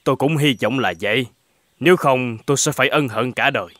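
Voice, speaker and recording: An actor playing a polite, grateful young traveller in an animated folk tale, speaking earnestly. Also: male voice, medium pitch, fairly quick, dry recording, close to an everyday voice. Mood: earnest, wistful.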